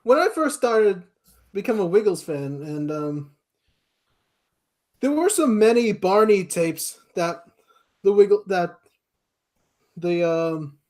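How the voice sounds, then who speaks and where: A man from the United States chatting casually as a podcast guest, with hesitant, halting phrasing and short answers. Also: sits high, animated, darkish tone. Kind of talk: casual chat.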